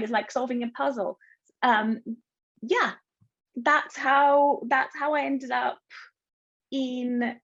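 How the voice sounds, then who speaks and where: A woman in her thirties, originally from Bulgaria, answering interview questions in a friendly, thoughtful way, often pausing with fillers. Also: fairly high pitch, lo-fi sound. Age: 30s. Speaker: guest, cloud solution architect.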